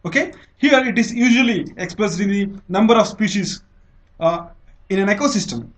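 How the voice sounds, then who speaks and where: A man with a distinctly high voice and an Indian accent speaks as a teacher. He explains step by step in a didactic way, repeating phrases and pausing to check understanding. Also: animated, a bit bright. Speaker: teacher, lecturer. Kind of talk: explanatory exam-prep lecture.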